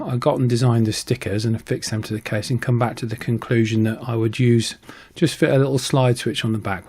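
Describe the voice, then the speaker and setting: A man's voice: mid-range voice, mellow. A middle-aged man who hosts a channel, casually narrating a hobby prop build. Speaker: host of a prop-making channel.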